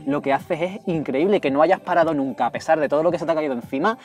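A young man from Jerez with a softened Andalusian accent, chatting fast and animatedly as a guest inside a car. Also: fairly high pitch, a loud crowd. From Andalusia, Spain. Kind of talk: casual chat.

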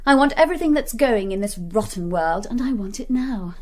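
A woman's voice: mid-range voice, lively intonation, brisk, close to an everyday voice. An actress playing a spoiled, brazen young English society woman in a radio play, with a British accent and a cool, clipped, imperious manner. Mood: brazen, worldly.

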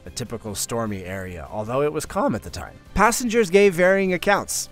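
A man reading scripted documentary narration for a countdown video, measured and informative with the odd wry aside. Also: medium pitch, sing-song. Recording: faint music bed behind voice.

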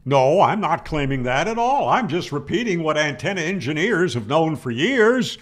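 A man's voice: on the high side, big pitch swings, moderate pace. An older man giving an explanatory technical lecture to camera, emphatic, asking questions and answering them firmly, on a close mic. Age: older, 60s or 70s. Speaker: ham radio explainer, video host. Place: small home room, indoors. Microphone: close studio mic on boom arm.

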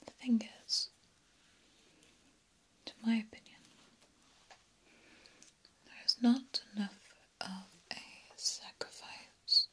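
A young woman whispering breathily in an ASMR roleplay as a genteel, sinister vampire countess, hushed and deliberate, with long pauses. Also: fairly high pitch, steady tone, low-quality recording. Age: young adult. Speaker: ASMR performer. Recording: clear background noise and ambience.

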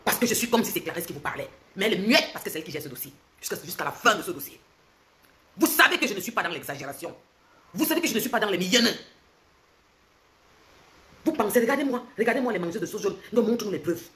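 Fast-talking Cameroonian woman ranting. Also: lively intonation. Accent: Cameroonian French. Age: 40s. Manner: fast and confrontational, swings from loud mocking to low intense lecturing. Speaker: social media commentator. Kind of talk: heated livestream rant. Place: indoors, bright room. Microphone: phone held close.

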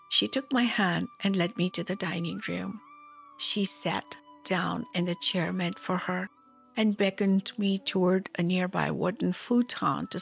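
A woman narrates a memoir with slow, deliberate delivery. Her voice has a gravelly fry at the edges, and she keeps a solemn tone over a musical backing. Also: fairly low pitch. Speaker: spiritual teacher, narrator. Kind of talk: scripted memoir narration, read aloud. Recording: music behind the voice.

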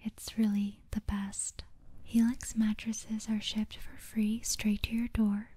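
A woman speaking in a breathy whisper as an ASMR host, in a slow, soothing, deliberate manner. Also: medium pitch, little pitch movement, warm tone. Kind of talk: whispered soft-spoken ASMR, guided sleep meditation.

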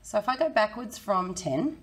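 A woman in her thirties with a croaky, hoarse voice, teaching a children's maths lesson in a friendly, encouraging way. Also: medium pitch, big pitch swings, normal speed, a bit bright. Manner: friendly, encouraging, talks to kids. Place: small indoor room.

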